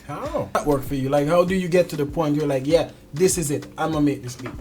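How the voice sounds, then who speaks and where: Higher-voiced man, casual interviewer. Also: a little fast, a little mellow, soft background music. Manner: informal slangy phrasing. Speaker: interview host.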